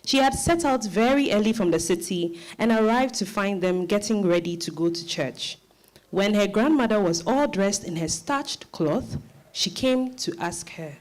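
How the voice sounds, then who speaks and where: A woman's voice: mid-range voice, normal speed, a little mellow, low-quality recording. Woman, narrator, scripted story narration, dramatized reading.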